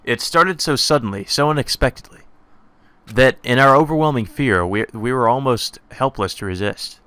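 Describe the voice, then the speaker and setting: A man's voice: mid-range voice, moderate pace, sharp tone, smooth, no echo. A man giving a dramatic, subdued reading of visual novel narration in a brooding manner. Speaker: let's play narrator.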